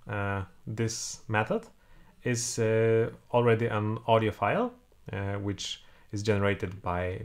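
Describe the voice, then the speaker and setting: A man in his thirties with a Polish accent, talking through a coding tutorial and thinking aloud with many fillers while he types. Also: fairly low pitch. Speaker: programming tutor. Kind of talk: screen-recorded coding tutorial. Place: home room, indoors.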